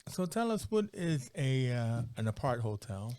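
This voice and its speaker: A man's voice: mid-range voice, sing-song, moderate pace, darkish tone, dry recording. Rambling, jokey American man hosting. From United States. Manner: rambling and jokey, heavy on "like" and "you know". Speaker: podcast host. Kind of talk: casual interview chat.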